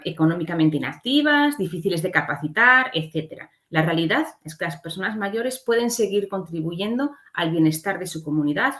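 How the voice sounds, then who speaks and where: Woman, slightly low voice, conference speaker, explanatory slide lecture, frequent checking tags. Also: pushed and bright. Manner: explanatory, often adds short checking tags.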